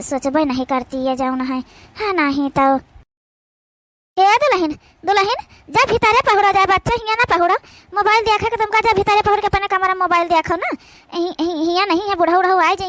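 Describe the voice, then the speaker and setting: Woman acting a very high-pitched, plaintive old mother-in-law, Awadhi accent. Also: fairly bright tone. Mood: grumbling, weary, then coaxing. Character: put-upon old village mother-in-law, pleading and grumbling. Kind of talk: comedy cartoon dialogue.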